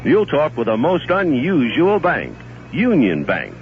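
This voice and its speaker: A man's voice: huge pitch swings, a little slow. Persuasive 1970s radio ad man. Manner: smooth persuasive sales pitch. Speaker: commercial announcer. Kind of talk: live-read radio ad. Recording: muffled 1970s radio broadcast.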